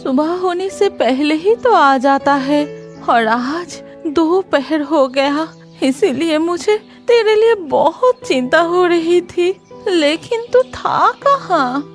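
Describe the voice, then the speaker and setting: A woman's voice: high-pitched, expressive, slow pace, soft background music. A woman voicing a worried, caring village mother in a cartoon story, tender and fretful.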